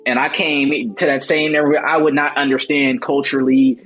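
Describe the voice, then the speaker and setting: A man's voice: a little high, little pitch movement, fairly quick, a bit bright, faint music behind. A man in his twenties with an American accent, a guest in a casual interview, talking earnestly and motivationally with many filler words. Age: 20s. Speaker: guest, travel agency founder.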